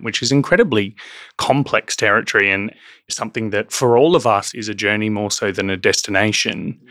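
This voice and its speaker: A man's voice: lowish voice, fairly bright tone, no room sound, clean studio sound. A man hosting a conversational interview, articulate and supportive toward the guests. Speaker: interviewer, podcast host.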